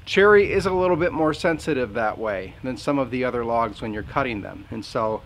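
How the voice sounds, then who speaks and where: A man's voice: medium pitch, lively intonation, a little fast. A man, a sawmill owner explaining his work outdoors in a plainspoken, folksy way. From Southern Indiana. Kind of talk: explaining on camera.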